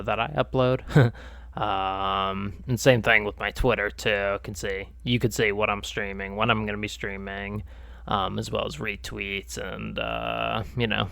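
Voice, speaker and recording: Stuffy-nosed gravelly streamer guy. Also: fairly low pitch, fairly bright tone, no echo. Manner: rambling, lots of uh and um fillers. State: stuffed up, nasal from a cold. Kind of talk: casual gaming stream chat.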